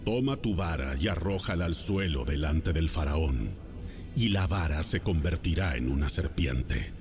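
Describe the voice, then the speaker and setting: A man's voice: rumbling low, animated, measured pace, heavily acted. A man playing God as a solemn, commanding deity in a dramatized audio Bible. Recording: music behind the voice. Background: music.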